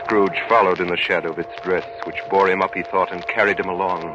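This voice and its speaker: A man's voice: sits low, steady tone. Theatrical male narrator, dull vintage radio sound. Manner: solemn, theatrical, measured. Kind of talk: dramatic old-time radio narration. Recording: dull old radio recording with music.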